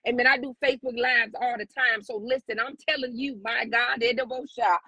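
Fervent woman preaching, high voice. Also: fairly even intonation, a little fast, pushed and bright, husky, dry recording. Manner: fervent, repeats lines, rising declarations. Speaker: guest prophetess. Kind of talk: preaching a prophetic word on a live stream. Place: online social media live stream.

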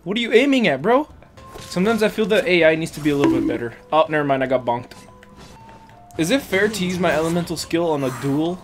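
A man's voice: on the high side, expressive, fast, music in the background. A man doing casual, joking gameplay commentary with plenty of slang and swearing, as a streamer. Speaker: gaming streamer.

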